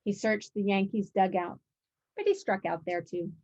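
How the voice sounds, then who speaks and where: A woman's voice: medium pitch, normal speed, a little mellow, highs cut off. A woman narrating a children's picture book aloud as its author.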